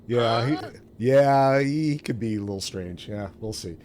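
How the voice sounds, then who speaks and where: A man's voice: mid-range voice, a little slow, darkish tone. A man hosting a casual game stream, rambling along in a self-deprecating way. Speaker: streamer, show host. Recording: clearly noisy home stream audio.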